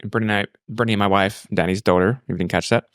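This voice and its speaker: A man in his thirties with an Australian accent, telling his personal story reflectively and haltingly, repeating words as he thinks. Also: on the low side, steady tone, no room sound, studio quality. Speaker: podcast guest.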